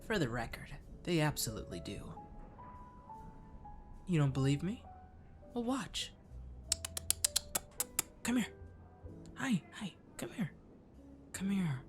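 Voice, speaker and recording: Catboy cafe waiter , male performer, mid-to-high pitched for ASMR comfort roleplay: gentle, flirty, whispery, music underneath. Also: big pitch swings, slow pace, long pauses. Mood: playful. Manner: soft-spoken, drifting into whispers.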